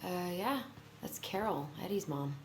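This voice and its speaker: A woman's voice: on the low side, animated, normal speed, darkish tone, close to an everyday voice. An actress playing a thoughtful but firm, skeptical young woman in a naturalistic video game. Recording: faint background noise.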